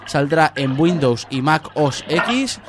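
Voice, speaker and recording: A man's voice: fairly high pitch, sing-song, slow, heavy background noise. A man co-hosting a video game radio show, reading news items in a dry, matter-of-fact way. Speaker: radio co-host. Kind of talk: reading news, casual banter.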